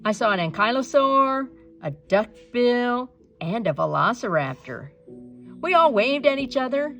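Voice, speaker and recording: A woman reading a children's storybook aloud in an expressive narrating style, with some creak in the voice. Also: on the high side, a little slow, soft background music. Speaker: storybook reader.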